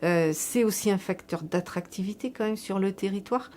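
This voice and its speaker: A woman with a mid-range voice speaks as a local official in an interview. She explains things in a measured, semi-prepared way, repeating words to stress her points. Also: mid-range voice, a little mellow, slight background noise. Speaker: local elected official presenting a public project.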